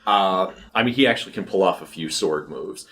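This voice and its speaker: A man's voice: sits low, fairly quick, fairly bright tone. A man co-hosting a podcast, trading casual film banter with wry interjections. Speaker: podcast co-host.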